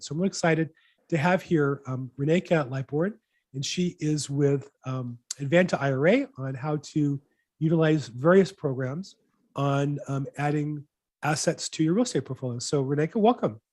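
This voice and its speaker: A man's voice: on the high side, darkish tone. A man hosting a weekly real estate video call, upbeat and enthusiastic, asking long rambling questions. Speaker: host, real estate broker. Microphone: video call mic.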